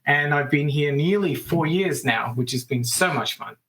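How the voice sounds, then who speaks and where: Bright-voiced Australian man telling stories. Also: fairly high pitch. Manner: chatty and upbeat, quick asides. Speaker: guest, business coach. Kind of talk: casual interview storytelling. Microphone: remote call.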